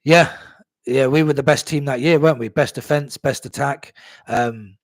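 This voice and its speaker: A man's voice: medium pitch, normal speed, dry recording. A young man hosting a live stream, chatting casually about his club, reading out viewer comments and swearing easily. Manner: laid-back, chatty, swears, laughs at himself.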